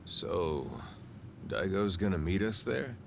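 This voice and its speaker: An actor playing a spirited aging gangster turned pirate captain in a video game, with slangy, folksy, drawling talk. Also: male voice, sits low, animated, slow, vocal fry, background noise, full character voice. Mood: reflective. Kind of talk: video game cutscene acting.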